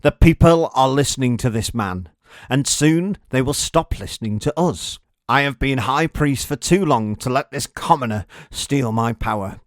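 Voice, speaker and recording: A man voicing a scheming, haughty high priest in a children's storybook, imperious and scornful. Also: moderate pace, no room sound, studio quality, close to an everyday voice.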